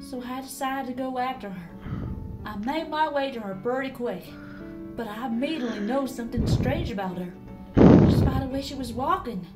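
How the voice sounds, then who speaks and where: Girl doing a scared farm pony confessing, highish voice, pleading, music behind it. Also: animated, a little fast, poor audio. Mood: haunted, recounting. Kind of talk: dramatic fan fiction voice acting. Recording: background music under the voice.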